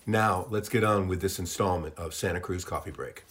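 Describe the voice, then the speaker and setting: A man's voice: deep voice, moderate pace, a bit bright. A man reading the scripted opening of a podcast evenly, like an announcer. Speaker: show announcer.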